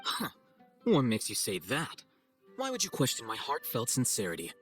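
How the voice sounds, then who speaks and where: A man playing an arrogant young scholar in a video game, composed and deadpan, with a condescending dryness. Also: mid-range voice, normal speed, husky, soft background music, exaggerated voice. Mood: sardonic. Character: cool, arrogant young male scholar, dry and sardonic.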